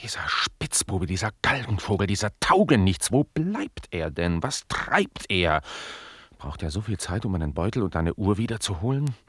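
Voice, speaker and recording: An actor playing an impatient, querulous gentleman master in a radio play. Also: low-pitched, big pitch swings, moderate pace, slightly rough, dry recording, heavily acted. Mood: grumbling, angry. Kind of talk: German radio play acting.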